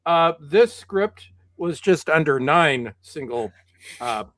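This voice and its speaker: A man with a hoarse voice from yelling, chatting casually on a stream, blunt and sweary. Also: a little high, expressive, takes it slowly, no echo. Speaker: video commentator. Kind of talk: casual chat.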